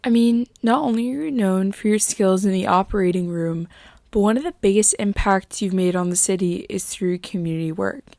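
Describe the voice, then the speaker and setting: A woman's voice: medium pitch, fairly quick, darkish tone, dry recording. A teenage girl from New York City, a student reporter asking a guest admiring, partly scripted interview questions. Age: teens.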